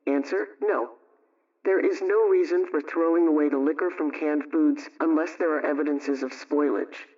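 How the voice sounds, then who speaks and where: A man reading scripted household advice and recipes in a measured, instructional way, like a 1920s radio programme host. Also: fairly high pitch, a little mellow. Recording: dull, distant vintage radio sound.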